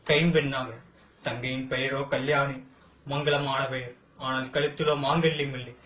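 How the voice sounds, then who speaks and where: A man's voice: fairly high pitch, a bit bright, close to an everyday voice. A man acting a wronged, defiant brother who pleads his case in a declamatory, rhetorical Tamil film courtroom monologue. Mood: grieving, bitter. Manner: declamatory, rhetorical, impassioned, with dramatic pauses. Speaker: amateur actor practising. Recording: echoey room.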